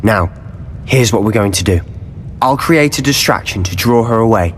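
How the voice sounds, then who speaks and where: A man's voice: on the low side, lively intonation, normal speed, natural voice. A man playing a confident, roguish young student in a video game, with a British accent and an assured, conspiratorial manner. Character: confident, roguish male student schemer, loyal and cheeky. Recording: long reverb, faint noise.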